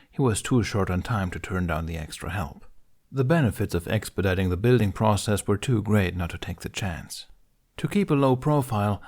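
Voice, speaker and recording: Low-pitched man narrating a story. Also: darkish tone. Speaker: amateur audiobook narrator.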